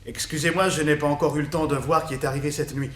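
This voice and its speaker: Actor as a fussy hotel manager, echoey, high voice. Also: male voice, steady tone, quick pace, a bit bright, slight background noise, natural voice. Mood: courteous. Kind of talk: French radio detective drama acting.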